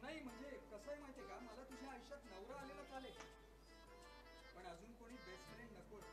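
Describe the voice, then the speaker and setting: An actor plays a frustrated young man in love on stage, his voice unusually high for a man. He speaks in emphatic, halting bursts and repeats himself. The sound is echoey and distant, with loud music around it. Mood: tender, teasing. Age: young adult. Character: frustrated young man quarrelling with the woman he loves. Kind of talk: one-act stage play dialogue.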